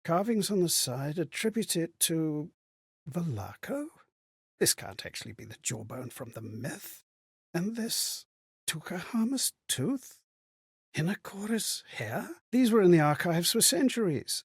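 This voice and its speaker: Game role: haunted, wondering man lost in memories; very lively intonation, breathy husk, theatrical delivery, dry close recording. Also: a little high, unhurried, dry recording, exaggerated voice. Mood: reflective, puzzled. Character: haunted, bewildered man recalling fragmented, strange memories. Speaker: video game voice actor. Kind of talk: dramatic game character monologue.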